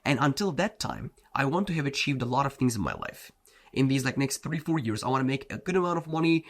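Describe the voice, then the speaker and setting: A man's voice: a little high, fairly bright tone. Blunt casual man, Turkish native. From Turkey. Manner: blunt, casual, slangy, says "bro" and "like" often. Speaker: self-improvement coach. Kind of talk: motivational talk to camera.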